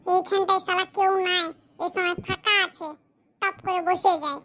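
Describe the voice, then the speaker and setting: A performer voicing a crude, self-pitying village boy who grumbles in rustic slang in a comedy cartoon, with a pitched-up voice. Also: flat delivery, normal speed, fairly bright tone. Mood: irritated. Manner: muttering complaints in rustic slang.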